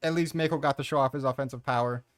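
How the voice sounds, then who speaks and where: A man's voice: mid-range voice, steady tone, fast. Blunt, sweary man ranting through a countdown. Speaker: host of a manga ranking commentary. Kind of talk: casual opinionated countdown commentary.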